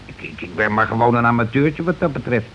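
Gruff scheming husband, played by a man, muffled old radio. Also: medium pitch, fast, fairly bright tone, natural voice. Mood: evasive blustering. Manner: colloquial, blustering, evasive. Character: gruff working-class husband, war veteran, scheming and self-excusing. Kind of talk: Dutch radio play acting. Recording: dull, noisy old radio recording.

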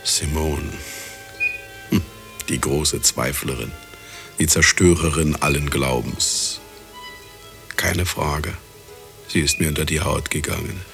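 An actor playing an aging, self-absorbed ex-husband who narrates a radio play in a wry, brooding, theatrical way with bitterness. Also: male voice, rumbling low, slow, pauses often, fairly bright tone, soft background music, full character voice. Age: older. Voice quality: gravelly, husky. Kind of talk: radio drama acting and narration.

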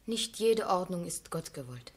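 An actress playing a dreamy, quietly defiant young niece in a 1970s German radio play, with soft, intense delivery. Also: female voice, lowish voice, lively intonation, a little slow, slightly rough. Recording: noisy 1970s radio drama recording.

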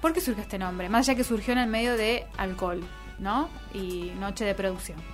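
A woman's voice: medium pitch, moderate pace. A woman with an Argentine Rioplatense accent hosting a radio show in casual, playful, ironic banter. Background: music.

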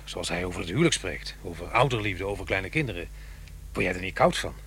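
A brooding divorced dad, middle aged, kinda low voice, earnest n hesitant, radio play w a bit of hiss. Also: male voice, lively intonation, fast talker, fairly bright tone. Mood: worried, pleading. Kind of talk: radio drama acting.

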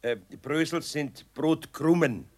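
An actor playing an older, good-natured but gruff master carpenter with a Bavarian accent, grumbling and homely, in a children's radio play. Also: male voice, a little high, takes it slowly, darkish tone. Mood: amused, indulgent.

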